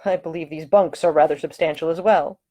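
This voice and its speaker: A woman's voice: sits low, steady tone, a little fast, dark tone. A woman voicing a big, roguish male romantic hero with a wry, teasing manner, in an audiobook romance. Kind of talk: acted dialogue in an audiobook romance.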